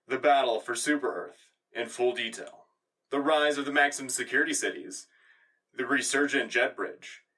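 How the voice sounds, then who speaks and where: A man's voice: mid-range voice, bright, forceful tone, distant mic, rough recording. A man playing a polished, grandiose propaganda radio news anchor in a science fiction war drama, reading scripted broadcast narration. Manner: polished, grandiose announcer delivery. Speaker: radio show host.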